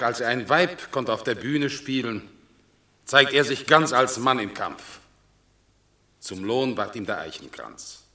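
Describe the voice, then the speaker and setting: A stately Roman commander with a bright, forceful voice. He declaims slowly and solemnly, pausing for weight, as in classic radio theatre. The room rings with echo. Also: medium pitch, heavily acted. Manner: solemn, declamatory, emphatic pauses. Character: stately Roman general delivering a solemn battle eulogy. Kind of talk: classic German radio play, declamatory stage acting. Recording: echoey radio drama studio.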